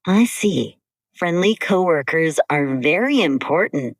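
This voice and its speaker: A woman co-hosting an English-learning podcast, speaking slowly and carefully in simple scripted sentences. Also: low-pitched, animated, no room sound, studio quality. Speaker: podcast co-host.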